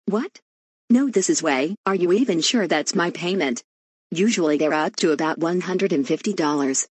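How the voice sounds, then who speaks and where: A man's voice: on the high side, normal speed, husky, dry recording, voice pushed up. A man voicing a worried woman who can't pay her water bill, pleading and flustered in amateur voice acting. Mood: shocked.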